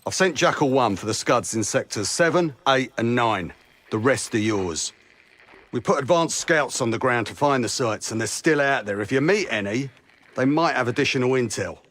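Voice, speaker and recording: Brusque British military commander. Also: male voice, mid-range voice, animated, normal speed, fairly bright tone. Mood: brusque, dry. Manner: brusque, sardonic, clipped orders. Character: gruff British SAS commander in a war game. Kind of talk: video game cutscene acting.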